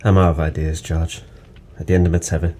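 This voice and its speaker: A very low voice belongs to a guilt-ridden, hard-drinking ex-sailor. He speaks in bleak, theatrical, poetic phrases, as in a radio play. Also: flat delivery, quick pace, mellow. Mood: bitter, resigned. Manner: brooding, poetic, theatrical monologue. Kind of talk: audio drama acting.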